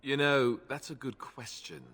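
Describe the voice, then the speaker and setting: A man voicing an earnest, self-deprecating young knightly warrior in a video game, explaining things in a measured way. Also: medium pitch, steady tone, a bit bright, long reverb tail. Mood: candid, modest.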